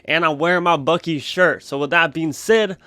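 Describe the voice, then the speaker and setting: Folksy Texan announcer played by a male vlogger, exaggerated country drawl, clear voice, showy cowboy flavour. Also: on the high side, normal speed, bright, forceful tone, no echo.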